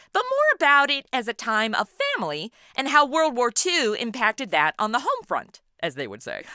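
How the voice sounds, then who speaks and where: A woman hosts an interview in a bright voice with very lively intonation. She is casual and quippy, asking questions conversationally. The recording is close and dry, without room sound. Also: fairly high pitch, no room sound. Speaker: podcast host.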